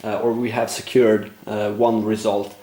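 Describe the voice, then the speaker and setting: A man narrating a board game play-through, explaining rules and thinking aloud with frequent fillers, his voice going slightly hoarse. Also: on the low side, little pitch movement, measured pace, dark tone, roomy sound, poor audio. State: losing his voice, clearing throat. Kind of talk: casual gameplay commentary.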